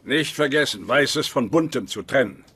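An actor playing a cantankerous, bossy older man in a dubbed sitcom, gravelly and husky, with a pompous, commanding manner. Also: medium pitch, lively intonation, moderate pace, a bit bright. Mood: stern, bossy.

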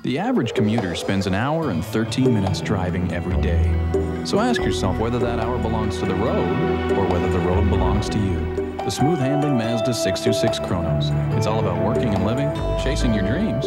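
A low-voiced man delivers a car commercial, smooth and persuasive with a lively, swooping lilt. Loud music plays underneath on old tape. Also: brisk. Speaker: commercial announcer. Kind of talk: car ad voice-over.

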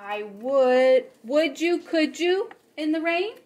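Female preschool storyteller, high-pitched and unhurried, playfully exaggerated delivery for little kids. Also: lively intonation, darkish tone. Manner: slow, exaggerated, playful expressiveness for young children. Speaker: preschool teacher reading to children. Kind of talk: children's storybook read-aloud with chat. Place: home kitchen, indoors.

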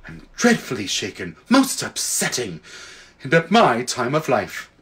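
A man voicing a shaken, fussy old gentleman in a storybook, muttering slowly to himself. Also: medium pitch, wildly swooping pitch, exaggerated voice.

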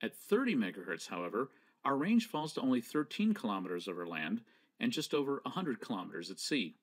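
Lively-pitched man narrating a scripted lesson. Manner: measured, didactic, precisely enunciated. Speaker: presenter. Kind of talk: scripted educational narration over slides.

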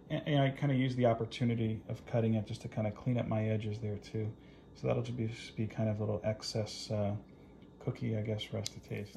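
A man's voice: mid-range voice, creaky, dark tone, a little hiss or hum. A man in his forties, hosting a casual home video, talking in a rambling, chatty way with plenty of fillers. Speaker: host. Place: living room at home, indoors.

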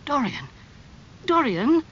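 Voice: medium pitch, big pitch swings, moderate pace, bright, forceful tone, dull audio, slight background noise, voice pushed up. A narrator playing an earnest, wounded male painter and devoted friend in a dramatised audiobook. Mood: distressed, angry.

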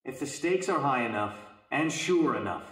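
An actor playing an earnest, firm youth pastor preaching to teenagers in an audio drama. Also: male voice, mid-range voice, expressive, moderate pace, fairly bright tone, close to an everyday voice. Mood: earnest, serious. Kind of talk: sermon, radio drama acting. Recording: echoey room.

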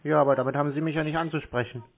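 Deadpan man on a tinny phone line. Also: fairly high pitch, rapid-fire. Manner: deadpan, curt, mocking. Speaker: scam-baiter taking phone calls. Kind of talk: unscripted phone conversation. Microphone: telephone call recording.

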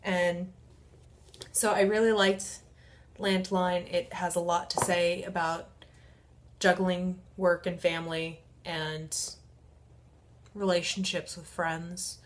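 A woman in her twenties chatting casually to camera in a halting, informal, self-correcting way, as a book vlogger. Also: fairly low pitch, little pitch movement. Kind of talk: casual vlog chat. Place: small room at home.

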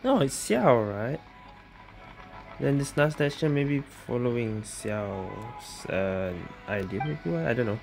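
A woman comments casually on a game she is playing, in a very low voice. She talks slowly and thinks aloud in short remarks, with loud game music behind her. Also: expressive, creaky. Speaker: game streamer. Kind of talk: casual let's-play commentary.

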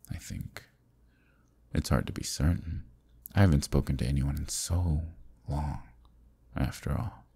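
Man as an imprisoned eldritch male villain, ASMR roleplay, dark mellow tone, very breathy and husky, lonely, teasing, seductive. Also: extremely low pitch, heavily acted. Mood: sly, curious. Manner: slow, intimate, teasing. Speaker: voice actor.

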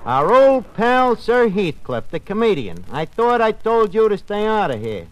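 Streetwise, sarcastic male tavern manager for radio comedy, high folksy voice, noisy vintage recording. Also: sing-song, measured pace, dull audio. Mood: wry, bantering. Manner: folksy, sarcastic, malaprop-prone, hesitant patter. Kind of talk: 1940s radio comedy acting.

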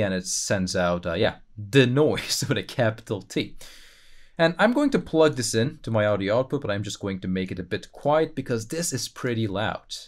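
A man talking through a software tutorial in an informal, explanatory way, thinking aloud as he goes. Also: fairly low pitch. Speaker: tutorial presenter. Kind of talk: casual screen-recorded software tutorial. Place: voice-over for a screen recording.

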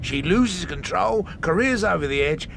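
Radio drama role: gruff older detective, needling and sardonic, very animated pitch, noisy ambience behind. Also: male voice, moderate pace. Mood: sardonic, explaining. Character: grumpy, blunt, sardonic older male Scotland Yard chief inspector.

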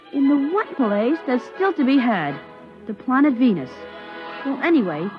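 A woman playing a restless, cheeky young boy with a sulky, eager manner in a 1950s radio drama. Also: high voice. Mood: grumbling. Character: restless young boy, cheeky, grumbling about his sisters. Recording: dull vintage 1950s radio recording.

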